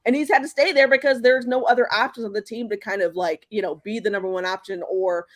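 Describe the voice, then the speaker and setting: A woman from Houston hosts a casual sports podcast at a middling pitch. Her talk rambles along with fillers and restarted phrases. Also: steady tone, fast talker. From Houston, Texas. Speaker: podcast host.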